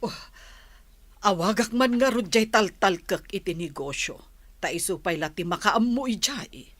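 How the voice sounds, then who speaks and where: A woman playing a sharp-tongued old grandmother in a radio comedy drama, chiding and matter-of-fact. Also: medium pitch, expressive, normal speed, dry recording.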